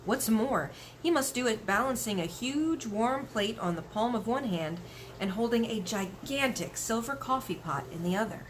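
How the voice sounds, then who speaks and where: Female story reader: expressive, animated intonation, children's read-aloud style, faint background noise. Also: on the high side, moderate pace.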